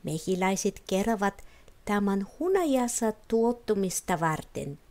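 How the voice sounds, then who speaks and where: A woman's voice: mid-range voice, animated, darkish tone, lightly acted. A woman voicing an eager, chatty talking flower in a storybook, keenly explaining things. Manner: keen, explanatory.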